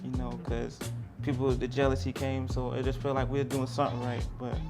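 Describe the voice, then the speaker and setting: A man in his thirties from Florida, a rapper telling his story in a relaxed, chatty interview and laughing easily. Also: mid-range voice, fairly quick. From Jacksonville, Florida. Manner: laid-back, laughing easily, loose storytelling. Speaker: rapper, showcase guest. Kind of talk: casual interview chat. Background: music.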